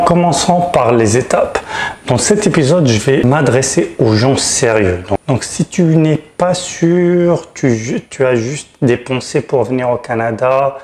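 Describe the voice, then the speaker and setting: Male advice-channel host, mid-pitched. Frank, direct consultant delivery with emphatic key words. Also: a little mellow. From Tunisia. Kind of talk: explanatory advice video to camera.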